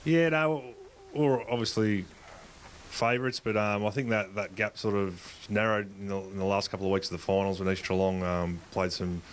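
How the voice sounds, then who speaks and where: A man, a football coach answering interview questions in a halting way, with many fillers and restarts. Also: lowish voice, steady tone, a little hiss or hum. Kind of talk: post-match interview.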